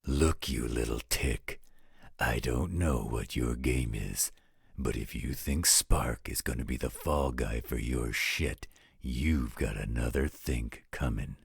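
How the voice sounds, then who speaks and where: A man playing a gruff, blunt, protective werewolf with a gravelly, raspy voice in a roleplay audio drama. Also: extremely low pitch, slow pace, no room sound, studio quality, exaggerated voice. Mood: threatening, irritated.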